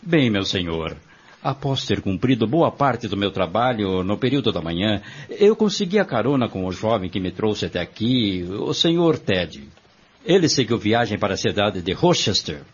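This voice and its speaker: A courteous travelling salesman played by a man in a radio drama, formal and grateful toward his hosts. The voice is husky and lively in its melody, caught on a dull lo-fi recording. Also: on the low side, moderate pace. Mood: courteous, explaining. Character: polite, grateful male travelling salesman in 1840s America.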